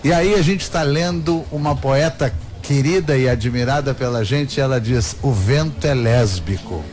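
An effusive man who hosts a book fair talk, wandering through his thoughts with frequent restarts. His voice sits at a middle pitch, and faint music plays behind him. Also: normal speed, a little mellow. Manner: effusive, digressive, many restarts. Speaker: host.

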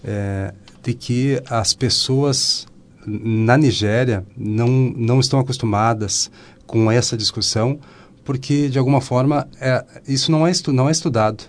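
A man's voice: mid-range voice, normal speed. A man interviewing a guest, attentive and asking long, rambling questions. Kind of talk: conversational interview.

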